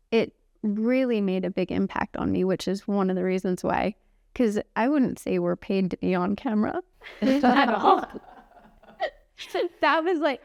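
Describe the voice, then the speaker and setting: A woman in her forties co-hosting a casual podcast chat, chatty and quick to agree. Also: medium pitch, a little mellow, clear, resonant tone, no room sound, highs cut off. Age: 40s. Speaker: podcast co-host.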